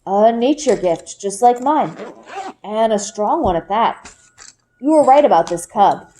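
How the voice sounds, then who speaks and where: Storybook father played by a woman: knowing, kindly, soft-spoken, patient explainer with a lower register. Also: expressive, measured pace, a little hiss or hum, natural voice, rough recording. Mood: gentle, warm. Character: gentle, knowing father, a magical nature seeker. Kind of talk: children's book read-aloud.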